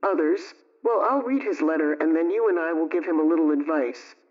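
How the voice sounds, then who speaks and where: An actress plays a female homemaker of 1920s radio, chatty and gently wry. She addresses her listeners in measured, genteel phrases. The sound is dull and lo-fi, like an old broadcast. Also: sits low, lightly acted. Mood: gently amused.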